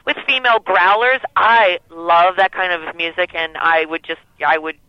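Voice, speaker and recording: Woman singer on a muffled phone line, lowish voice, rambly and laid back. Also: normal speed, no echo. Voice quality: lower voice. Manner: relaxed, rambling, many fillers. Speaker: band lead singer. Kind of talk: phone interview.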